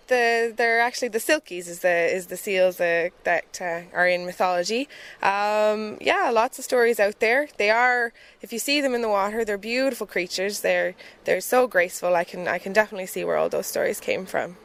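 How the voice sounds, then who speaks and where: A woman's voice: medium pitch, normal speed, a bit bright, no room sound. Woman explaining the seal sanctuary, mid voice, lots of ums and uhs. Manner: hesitant, many "um" and "uh" fillers. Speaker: animal sanctuary manager. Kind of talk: informational interview.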